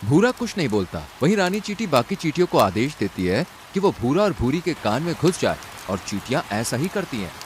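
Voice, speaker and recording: A man's voice: mid-range voice, very animated, fairly quick, hardly any pauses. A man narrating a cartoon story in a dramatic scripted style. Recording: rain and outdoor noise behind.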